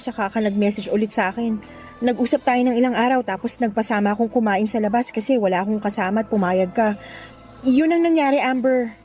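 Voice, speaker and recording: A woman's voice: a little high, warm tone, lightly acted. A young woman acting a defensive other woman explaining herself in a radio drama. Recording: music behind.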